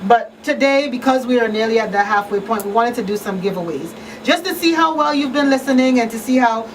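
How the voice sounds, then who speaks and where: A woman's voice: fairly high pitch, lively intonation, a little fast. A woman hosting a live radio talk show in a casual style from a small studio. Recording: slightly distant, noisy room sound.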